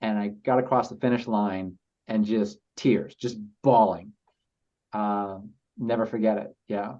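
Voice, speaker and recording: A man speaking as a podcast guest, telling personal stories in a halting, reflective and earnest way. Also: fairly low pitch, steady tone, warm tone. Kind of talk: casual interview storytelling. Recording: slightly dull lo-fi audio.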